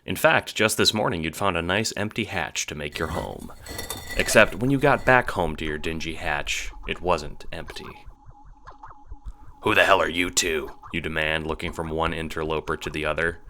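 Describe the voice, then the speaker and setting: A man with a deep voice narrates a scripted audio drama. His tone has a bright, forceful edge as he reads the story in the second person. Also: moderate pace, a little hiss or hum. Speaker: narrator and voice actor.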